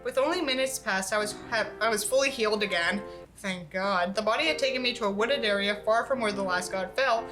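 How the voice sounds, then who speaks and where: A woman's voice: mid-range voice, a little fast, over music, rough recording. A woman reading a novel aloud in a theatrical, sarcastic way, as a reviewer mocking it. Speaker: YouTube book reviewer.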